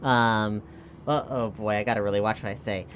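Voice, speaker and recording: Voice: mid-range voice, fairly even intonation, moderate pace, fairly bright tone, slight background noise. A tabletop RPG player chatting casually and jokily, improvising and at times speaking in character.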